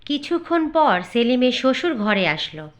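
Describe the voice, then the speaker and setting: A woman's voice: high-pitched, very animated, moderate pace. A woman narrating a scripted cartoon story.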